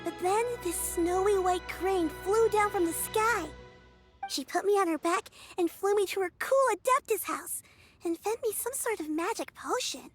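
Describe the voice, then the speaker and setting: Very high-voiced shy little girl. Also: normal speed. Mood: earnest. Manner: hesitant, childlike, informal. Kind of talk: video game voice acting. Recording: music under the voice.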